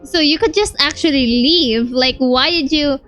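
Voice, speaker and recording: A woman's voice: sits high, measured pace, fairly bright tone, faint music behind. A woman streaming a game with casual, slangy reaction commentary in an informal manner.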